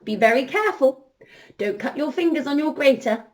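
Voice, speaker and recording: A woman storyteller leading an interactive children's story, expressive and encouraging, speaking directly to her young listeners. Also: high-pitched, fairly quick. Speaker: children's storyteller, princess entertainer.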